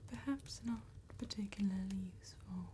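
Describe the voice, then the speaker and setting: A woman performing an elegant, courteous vampire tattooist in a soft-spoken ASMR roleplay, whispering breathily with formal, deliberate phrasing. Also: medium pitch, a little hiss or hum, lightly acted. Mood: hushed, mysterious.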